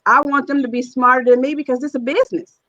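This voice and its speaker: Woman panelist, bright forceful voice, blunt delivery, dull recording. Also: high-pitched, fairly even intonation, fast. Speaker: stage play writer-director. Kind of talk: panel chat.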